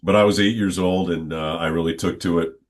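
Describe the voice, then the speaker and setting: A man in his sixties, a guest on a podcast, telling stories from his childhood in an unhurried, conversational way. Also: low voice, steady tone. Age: 60s. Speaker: interview guest, stadium announcer. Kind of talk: relaxed reminiscing conversation.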